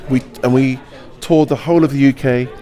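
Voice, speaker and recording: A man's voice: medium pitch, steady tone, fairly quick, warm tone. A middle-aged man, a pantomime actor, giving playful answers in an interview, joking and laughing often in a theatrical way.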